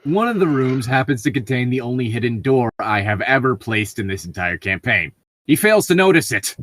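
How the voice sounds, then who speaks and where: A man's voice: mid-range voice, big pitch swings, brisk, a bit bright, a little hiss or hum. A man co-hosting a podcast, switching between casual chat and reading stories aloud.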